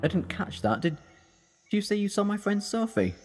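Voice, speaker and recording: A man playing an eccentric, quick and cheeky alien time traveller in a fan sci-fi audio drama, with a chatty, flippant manner. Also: high voice, sing-song, music in the background. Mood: flustered.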